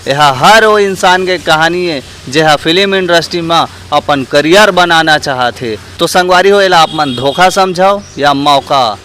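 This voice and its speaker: A man's voice: a little high, unhurried, few pauses, pushed and bright, a little hiss or hum. A man with a Chhattisgarhi accent presenting a vlog as host, earnestly addressing viewers directly as friends. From Chhattisgarh, India. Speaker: host and street interviewer. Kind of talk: vlog presenting with public opinion questions.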